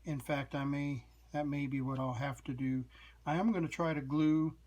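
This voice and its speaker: A man narrating a hands-on repair in a casual, halting way, as if thinking aloud while he works as the host. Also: mid-range voice.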